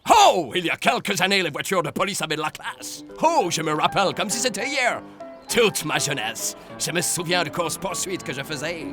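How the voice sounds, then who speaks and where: Pompous old truck type in a kids cartoon, reminiscing, very up and down, music playing. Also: male voice, a little high, sing-song, moderate pace. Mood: nostalgic. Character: pompous, nostalgic old male vehicle, grumbly veteran.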